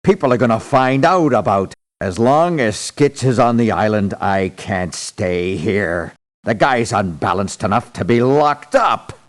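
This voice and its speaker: An actor playing a self-important, stern mercenary doctor in a video game, speaking in a haughty, formal, deliberate way. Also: male voice, on the low side, expressive. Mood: indignant. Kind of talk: video game character voice lines.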